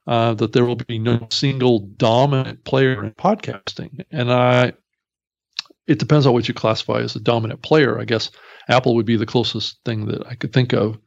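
Gravelly man, middle-pitched. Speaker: podcast co-host. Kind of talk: casual industry discussion. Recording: dull, slightly lo-fi audio.

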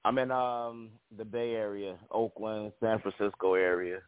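A man's voice: on the low side, flat delivery, unhurried, clean tone, no echo. A young man from the Bronx, a guest comedian, telling stories in a laid-back, casual way. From the Bronx, New York. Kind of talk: casual interview chat. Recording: tinny phone line, dry.

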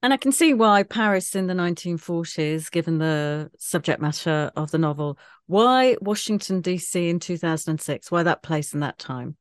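A radio host putting measured, inquisitive questions to an author guest. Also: mid-range voice, animated. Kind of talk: interview questions.

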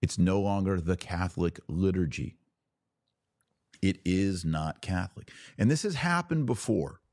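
Male religious commentator, low voice, dry close sound. Emphatic, punchy, repeats key phrases, challenges the listener directly. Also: animated, a little slow, darkish tone, no room sound. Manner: rhetorical questions to listeners, acting out imagined quotes. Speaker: podcast host and religious commentator. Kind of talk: impassioned religious commentary to a live audience.

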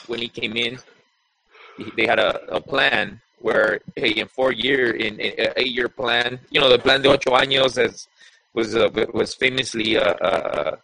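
A man co-hosting a football podcast, talking in a halting way with many restarts. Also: medium pitch, gravelly, sharp tone, no room sound, poor audio. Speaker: podcast co-host. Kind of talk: casual sports chat.

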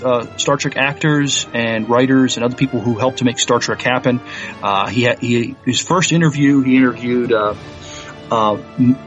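Podcast guy stumbling thru a segment, lots of uhs, muffled lo-fi audio with faint music. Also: medium pitch. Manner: hesitant, lots of ums, earnest. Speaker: podcast co-host. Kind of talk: casual podcast chat.

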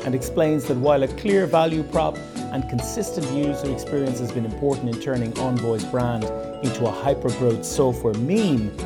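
Podcast host man over loud music. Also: mid-range voice, normal speed. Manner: hesitant, many fillers. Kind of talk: conference interview. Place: conference venue. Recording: music playing behind.

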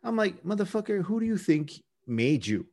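A man's voice: high-pitched, very animated, moderate pace, a little mellow. A man co-hosting a podcast, discussing folklore casually, often circling back and repeating words as he thinks. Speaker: podcast co-host.

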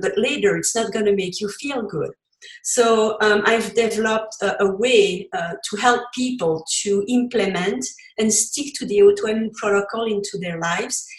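A French-accented woman from Belgium talks through her ideas as an interview guest, stopping and restarting with fillers. Her voice sits at a middle pitch and carries a noticeable room echo. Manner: explanatory, frequent fillers and restarts. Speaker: podcast guest, cookbook author. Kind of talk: conversational interview.

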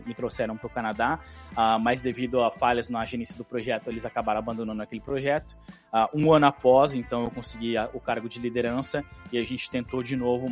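A Brazilian man in his thirties, a guest in an interview, telling his story in a relaxed way with slang and fillers. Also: mid-range voice. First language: Portuguese. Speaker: podcast guest, engineering executive. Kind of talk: casual interview chat.

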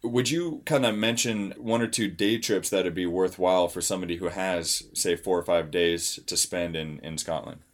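A man hosting a travel chat, relaxed and conversational, asking his guests leading questions. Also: sits low, moderate pace, hardly any pauses. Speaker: podcast host.

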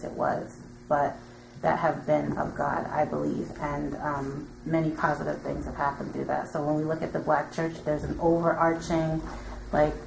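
A woman speaking from the audience in a careful, halting, politely firm way. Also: low-pitched, vocal fry. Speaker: audience member commenting. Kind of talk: spoken comment in a question session. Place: room with an audience. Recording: roomy, noisy audience pickup.

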